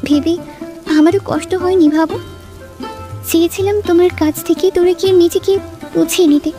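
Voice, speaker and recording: A woman's voice: very high voice, fairly even intonation, normal speed, warm tone. A woman playing a wistful, regretful young former lover in an audio story, tender and hesitant. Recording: music behind the voice.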